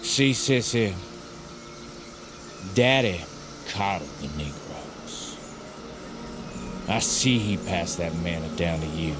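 An actor playing a cruel, gloating Southern plantation owner with a menacing, mocking drawl in an audio drama. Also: male voice, low voice, lively intonation, slow pace, pauses often, noisy background. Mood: gloating, cold.

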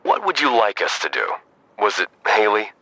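An actor playing a weary, procedural police sergeant, measured and matter-of-fact, in an audio drama. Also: male voice, on the low side, huge pitch swings, pushed and bright, no room sound, full character voice, low-quality recording. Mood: politely dismissive. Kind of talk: audio drama acting, phone dialogue.

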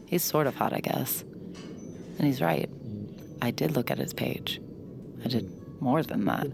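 A woman's voice: extremely low pitch, expressive, measured pace, creaky, a crowd in the background, heavily acted. An actress playing a blunt, cynical young woman who reads minds, with dry sardonic inner monologue. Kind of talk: audio drama acting with inner monologue.

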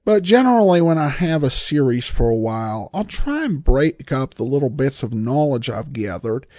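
Man podcasting with lively, swinging pitch. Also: normal speed, no room sound, clean studio sound. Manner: self-deprecating. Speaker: podcast host. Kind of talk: casual solo podcast commentary.

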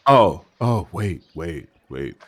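An actor playing a manic, scheming theatre producer in a comedic audio drama, glib, pushy and excitable. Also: male voice, deep voice, slow, husky, heavily acted. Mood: eager scheming.

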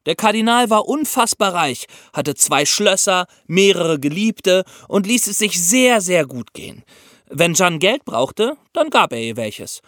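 Male podcast storyteller: lively, swooping intonation, higher-pitched voice, explains things straight to the audience. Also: measured pace, no echo, clean studio sound. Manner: explanatory, addresses listeners directly. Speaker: podcast host. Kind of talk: scripted storytelling for a history podcast.

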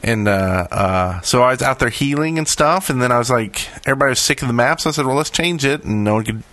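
A man's voice: medium pitch, lively intonation, fast, a bit bright, dry recording. A man co-hosting a gaming podcast in casual banter, with a joking, mock-serious manner. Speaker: podcast co-host.

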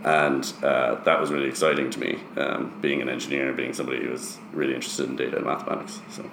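Very deep-voiced man in a roomy space. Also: level tone, fast talker, vocal fry. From Ireland, later years in the US. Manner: explanatory, often checks with "right?". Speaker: interview guest, startup founder. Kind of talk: conversational interview answers. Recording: roomy echo, faint background noise.

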